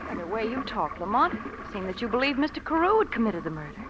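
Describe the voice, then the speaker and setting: Worried classy lady in old radio play, very lively pitch, pleading, noisy. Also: fast talker, lo-fi sound. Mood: questioning, pleading. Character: anxious, inquisitive society woman, sleuth's companion. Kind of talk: 1940s radio acting.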